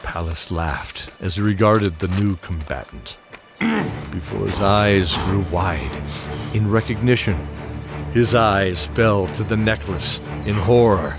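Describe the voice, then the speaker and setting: A man's voice: on the low side, takes it slowly. A man reading dramatic scripted narration for a fantasy audio drama, with music and effects behind him.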